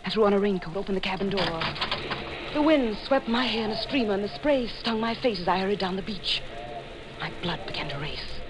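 A woman's voice: medium pitch, lively intonation, brisk, noisy background, lightly acted. An actress playing an intense, thrill-hungry lady novelist, narrating dramatically in 1940s radio suspense style. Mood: tense, excited. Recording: old 1940s radio broadcast, music behind.

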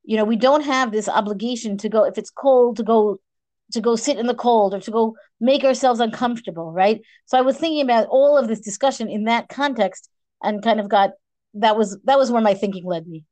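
A woman's voice: a little high, brisk, darkish tone, no echo. Reflective woman co-teacher, dry sound. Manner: reflective, hesitant restarts. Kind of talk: study discussion.

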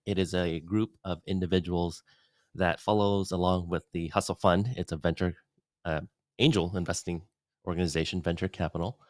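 A man's voice: deep voice, steady tone, normal speed, no room sound. A man hosting his own podcast, recording a casual, rambling, upbeat update alone at his desk on a studio mic in a home office. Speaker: podcast host giving an update. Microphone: studio dynamic mic at desk.

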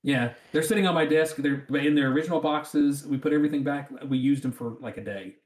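A man's voice: a little high, brisk, a little mellow. Southern American man in a roomy space. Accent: rural Southern American. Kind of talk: casual chat. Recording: roomy, echoing sound.

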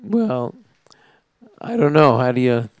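A man hosting a community radio talk show, chatting in a halting, easygoing way. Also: medium pitch, big pitch swings, no echo. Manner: halting, restarts, laughs easily. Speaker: host. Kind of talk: casual radio chat.

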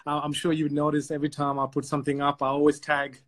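A chatty man from Sri Lanka tells a story as a podcast guest, his voice sitting a little high. He speaks over a video call and often repeats words as he goes. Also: monotone, fast, fairly bright tone. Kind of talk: casual storytelling.

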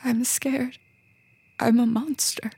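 An actress voicing a frightened, guilt-ridden young female vampire in a radio drama, hesitant and breathy. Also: a little high, level tone, takes it slowly, warm tone, no echo, heavily acted. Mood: distraught, scared. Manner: hesitant, fragile. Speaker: actress playing Christine.

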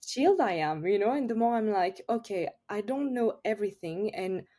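French-accented woman, mellow voice. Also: medium pitch, lively intonation, normal speed. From France. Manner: chatty, open, frequent fillers like "you know". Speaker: yoga teacher, guest. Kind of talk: casual podcast interview.

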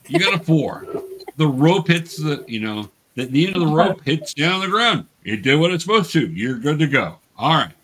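A man's voice: a little high, sing-song, fairly bright tone, slight background noise, rough recording. A man patiently guiding a tabletop role-playing game as game master, encouraging players and often restarting his phrases. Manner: encouraging, patient, halting with self-repeats.

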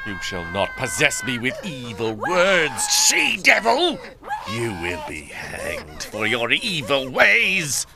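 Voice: medium pitch, wildly swooping pitch, takes it slowly, creaky, crowd noise, exaggerated voice. An actor playing a zealous, panicked Puritan witch accuser in a comedic audio play, with pompous archaic speech. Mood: righteous alarm. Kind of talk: comedic audio theater acting.

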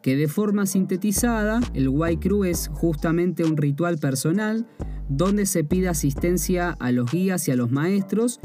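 Mellow-voiced young Argentine man explaining. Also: sits high. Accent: Argentine Spanish. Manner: measured, explains terms with "o sea" asides. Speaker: martial arts practitioner presenting. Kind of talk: explanatory presentation. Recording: faint guitar music behind.

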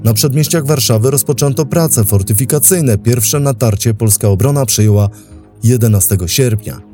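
A man's voice: medium pitch, moderate pace, mellow, soft background music. A man narrating a scripted historical documentary. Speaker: host and narrator of a history podcast.

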